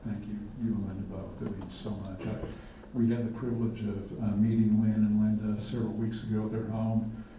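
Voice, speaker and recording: A man's voice: fairly low pitch, little pitch movement, brisk, few pauses. A man, a public health official, giving a presentation and often stumbling into repeated words. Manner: many restarts and repeated words. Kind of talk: presentation at a community forum. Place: lecture hall. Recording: echoey hall, mic far off, faint noise.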